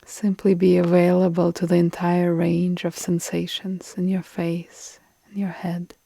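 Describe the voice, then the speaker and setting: A woman leads a guided yoga nidra relaxation in a low-leaning, mellow voice. She is calm and soothing, leaving long pauses, and her soft phrase endings turn breathy. The delivery stays very even, with hardly any melody. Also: dry recording.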